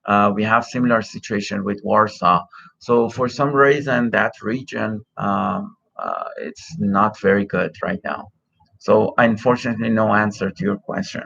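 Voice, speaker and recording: A man's voice: on the low side, moderate pace, gravelly, a bit bright, husky. A middle-aged man whose first language is Farsi, hosting a live Q&A as an immigration consultant, hesitant with many fillers and restarts, speaking into a cell phone. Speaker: immigration consultant, livestream host. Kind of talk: live Q&A, reading and answering viewer questions. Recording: dull lo-fi phone audio.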